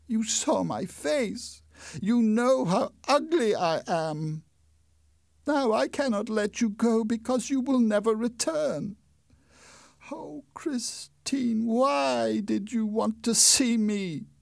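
A man's voice: mid-range voice, animated, measured pace, darkish tone, no room sound, clean studio sound. A man voicing a tormented, lovesick masked male phantom, pleading and anguished.

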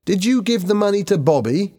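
A man's voice: mid-range voice, very animated, normal speed, dark tone, pitched above the performer's own voice. A man voicing an angry, defensive teenage schoolgirl in a story, indignant and exclaiming. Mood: furious.

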